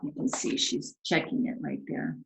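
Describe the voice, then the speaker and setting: A woman's voice: low-pitched, sing-song, moderate pace, creaky. A woman reading a children's story aloud as its author, narrating with dramatic, earnest expression. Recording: roomy, echoing home recording.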